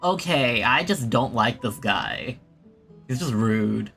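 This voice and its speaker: Bright-voiced, slow-paced casual game streamer. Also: mid-range voice, expressive. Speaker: gaming streamer. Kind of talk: casual let's-play commentary. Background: game music.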